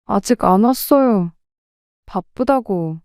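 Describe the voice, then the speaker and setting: A woman's voice: mid-range voice, expressive, slow, a little mellow, smooth, no room sound, studio quality. A woman playing a devoted adult daughter, earnest and protective toward her mother, in an audio drama. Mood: earnest, worried.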